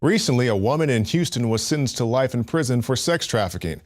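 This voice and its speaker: A man hosting a news segment, reading a measured intro and then interviewing a guest. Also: medium pitch, expressive. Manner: measured, reads scripted intro then asks questions.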